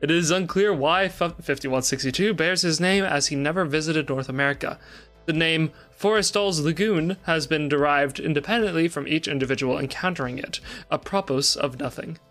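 A man's voice: on the high side, normal speed, a bit bright, faint music behind. A man reading a fictional report aloud on a livestream and chatting casually. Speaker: guest on a charity stream.

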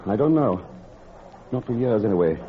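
An actor playing an earnest, tender gentleman in love, in an old radio drama, with a husky voice. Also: lowish voice, lively intonation, normal speed, slight background noise, lightly acted. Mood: resigned, sorrowful. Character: earnest, lovelorn English gentleman, tender and resigned. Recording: muffled old radio recording.

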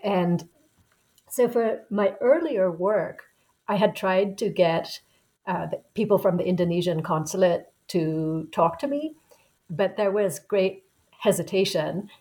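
A woman in her sixties, a professor being interviewed as a guest, telling her story in a reflective, unhurried way. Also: mid-range voice, dark tone, low-quality recording. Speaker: guest author, anthropology professor. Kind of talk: conversational interview answer.

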